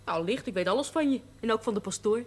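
Woman playing a cheeky dutch girl, fast mouthy talk, bit high, old tv hiss. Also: animated, natural voice. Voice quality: brash, cheeky, quick-tongued. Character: cheeky brash young miner's daughter. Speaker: miner's eldest daughter. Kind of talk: 1970s TV drama acting.

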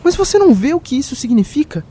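A woman's voice: high voice, very animated, quick pace, dark tone, slight background noise. A woman playing a hopeful, determined traveller in a sci-fi audio drama, eager and insistent.

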